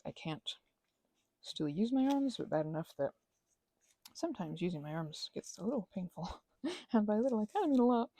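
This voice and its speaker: A woman talks in a loose, rambling ASMR chat style. Her voice is mellow and heavily creaky, recorded close and dry. She is self-deprecating and often drifts off mid-thought before picking up again. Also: lowish voice, no room sound. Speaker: host of a craft ramble and ASMR channel.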